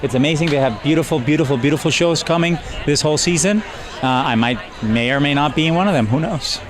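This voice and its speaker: A bubbly, effusive young man from Mexico, performing at a gala, speaking in an even middle register. He is interviewed outdoors on the red carpet with a loud crowd chattering behind him. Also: on the high side, fast talker. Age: young adult.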